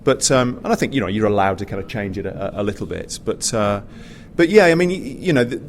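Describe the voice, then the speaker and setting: A man's voice: fairly low pitch, expressive, a little fast. British man chatting on a panel, faint noise. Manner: conversational, stop-start with repeated words. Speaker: panelist, pantomime writer and director. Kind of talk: informal panel discussion. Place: live panel in a theatre. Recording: faint background noise, live event.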